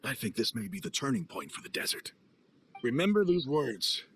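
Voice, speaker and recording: An actor playing a dignified, heartfelt desert mercenary leader in a video game, speaking in a solemn, measured way. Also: male voice, mid-range voice, big pitch swings, a bit bright, slight background noise. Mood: solemn, admiring. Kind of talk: video game cutscene voice acting. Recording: faint music behind voice.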